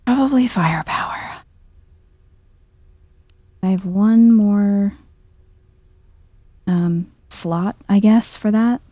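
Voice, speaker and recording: A soft-spoken woman giving chill, half-whispered ASMR commentary on a video game, thinking aloud as she plays. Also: mid-range voice, slow, pauses often, dry recording.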